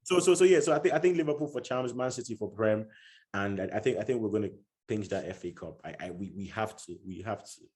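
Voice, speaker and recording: A man's voice: fairly low pitch, fast talker, darkish tone. A man chatting casually about football as a podcast guest, often restarting and repeating words as he thinks aloud.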